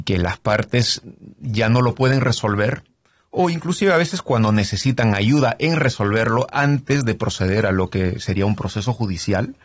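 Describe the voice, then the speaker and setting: Husky-voiced lawyer explaining in a steady manner. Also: male voice, on the low side, no echo. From Canada. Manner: explanatory, frequent fillers and tag questions. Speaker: trial lawyer guest. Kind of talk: conversational interview.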